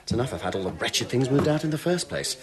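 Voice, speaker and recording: Actor as a pompous, animated English art snob. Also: male voice, medium pitch, fast, a crowd in the background, lightly acted. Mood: haughty. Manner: haughty, sneering, theatrical. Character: pompous, sardonic, snobbish male art curator in wartime London. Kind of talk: period audio drama acting.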